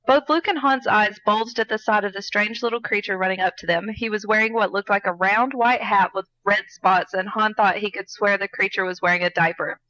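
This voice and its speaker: A woman's voice: medium pitch, a little fast, hardly any pauses, bright, forceful tone, dry recording, lo-fi sound. A woman podcast host narrating fan fiction aloud and chatting casually about it. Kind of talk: story narration and casual banter.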